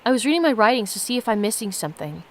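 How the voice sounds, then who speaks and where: Woman reading game diary entries as a solemn writer, measured storytime voice, highish, bit of noise. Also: big pitch swings. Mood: pondering. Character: solemn diary writer recounting strange mystical visions. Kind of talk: reading story text aloud.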